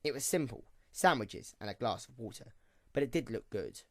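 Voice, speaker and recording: A man's voice: medium pitch, expressive, moderate pace. A man reading scripted story narration for an audio drama.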